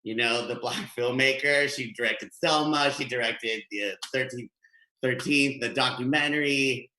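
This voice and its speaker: Animated male stand-up on a webcam; bright tone, roomy echo. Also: mid-range voice, a little slow. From Guatemala. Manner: chatty, animated, gesturing. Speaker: stand-up comedian. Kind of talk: stand-up set. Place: home room. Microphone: webcam, video call. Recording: echoey home room.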